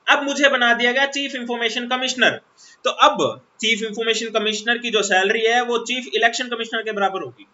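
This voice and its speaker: Male teacher, exam-prep lecture; high, very bright voice, explains patiently through repeated questions. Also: highs cut off. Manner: explanatory, repetitive, rhetorical questions to students.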